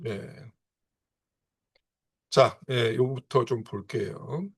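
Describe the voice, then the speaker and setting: A man's voice: mid-range voice, drawn-out words, slight background noise. Man lecturing with constant filler affirmations. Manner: punctuates phrases with frequent filler affirmations. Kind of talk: seminar lecture.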